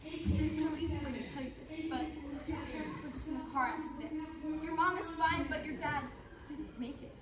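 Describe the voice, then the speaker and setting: A woman's voice: high-pitched, steady tone, moderate pace, background noise, natural voice. A young woman playing an earnest youth pastor telling her story in a projected, theatrical readers theater style. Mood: somber, gentle. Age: young adult. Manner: projected, emphatic, theatrical delivery. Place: large conference room, judges' table in front. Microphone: distant camera. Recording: echoey room recorded from far off, audience sounds.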